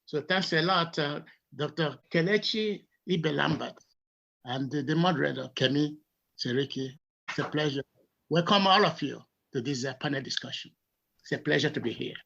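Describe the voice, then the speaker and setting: A man's voice: a little high, normal speed, creaky, sharp tone. A man from Nigeria, in his sixties, introducing himself on a panel in a polite, formal way. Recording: dull lo-fi audio.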